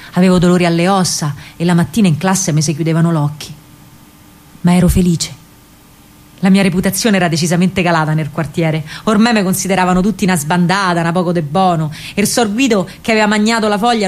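A woman's voice: on the low side, close to an everyday voice. Actress as spirited young Roman partisan girl. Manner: vivid, earthy storytelling with deliberate pauses. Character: spirited young working-class Roman woman, wartime partisan courier. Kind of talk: dramatic first-person monologue in Roman vernacular.

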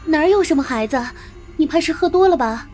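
Female, actress as tender period wife for radio drama; high voice, solicitous, faint music behind. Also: lively intonation, a little fast, dark tone. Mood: caring. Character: gentle, attentive young wife in old China. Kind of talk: costume audio drama acting.

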